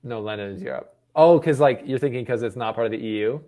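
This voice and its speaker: A man's voice: mid-range voice, fast, dark tone. A man co-hosting a casual sports chat in an upbeat, slangy way. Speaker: sports show co-host.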